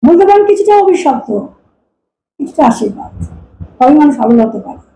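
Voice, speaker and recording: A woman's voice: high voice, sing-song, brisk, dark tone. A woman from India, telling personal stories informally in fragmented, self-interrupting sentences. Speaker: folk vocal mimicry artist being interviewed. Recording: echoey room with faint noise.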